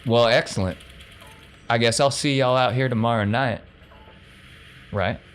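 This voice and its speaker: A man's voice: mid-range voice, unhurried, natural voice. A man playing a confident crime boss in a tabletop game, with folksy phrasing. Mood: pleased. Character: confident male crime boss making a deal. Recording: noticeable background noise.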